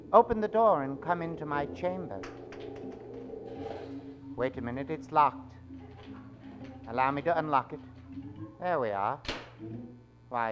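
Suave male mystery narrator played by a stage actor, 1940s radio drama, slow, dramatic, drawn-out suspense. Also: a little high, lo-fi sound, pitched below the performer's own voice. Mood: inviting, ominous. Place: theater stage. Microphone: vintage-style stand microphone.

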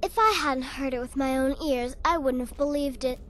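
A woman's voice: high voice, steady tone, normal speed, natural voice. An actress playing a small, girlish female assassin in a video game, composed and articulate like a grown-up. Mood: shocked, pitying. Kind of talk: dramatic video game acting. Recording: distant, faint ambient noise.

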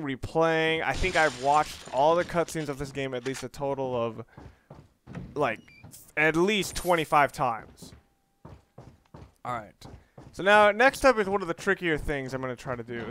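A man with a slightly high voice talks through a video game in a casual let's play style. He explains tactics in a rambling, mildly profane way. There is faint noise with game ambience behind him. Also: fairly bright tone. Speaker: gamer, let's play host. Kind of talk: casual gameplay commentary with strategy explanation. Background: video game sounds.

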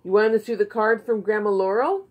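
A woman's voice: mid-range voice, a little fast. A woman chatting casually and encouragingly in short, simple questions, as if to a child in a home puppet show. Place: living room beside a Christmas tree at home. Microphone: phone camera a short way off.

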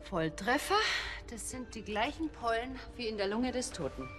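Eine Schauspielerin spielt eine trockene, spöttische Pathologin mit bayerischem Akzent in einem Fernsehkrimi. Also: female voice, a little high, lively intonation, moderate pace, over music, natural voice. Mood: dry. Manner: dry, mocking. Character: sharp-tongued female pathologist.

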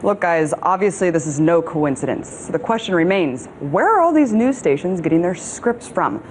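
Woman, American accent, lowish pitch, lively intonation, sardonic commentary, studio host. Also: normal speed, a little hiss or hum. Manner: emphatic, sardonic. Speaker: news show host. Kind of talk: scripted opinion news commentary.

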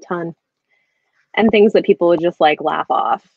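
A woman's voice: fairly low pitch, measured pace, vocal fry, slightly rough, no room sound. A woman in her thirties in a casual book discussion on a video call, thinking aloud in a hesitant, rambling way. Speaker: book club livestream host. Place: indoor room at home, on a video call. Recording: slightly dull video-call audio.

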